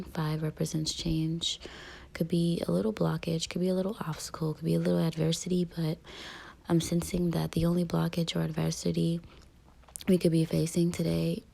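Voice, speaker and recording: A woman with a low, gravelly voice talks through a casual tarot reading. She speaks softly, close to a whisper at times, with plenty of ums, and her tone stays level and mellow. A touch of morning roughness from allergies sits in her throat. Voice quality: raspy. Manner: soft, breathy half-whispered delivery with frequent ums. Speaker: tarot reader.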